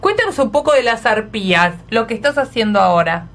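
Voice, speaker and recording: A woman's voice: a little high, expressive, a little fast, fairly bright tone. A woman with an Argentine accent hosting a casual radio interview and reading out messages from listeners. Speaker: radio host.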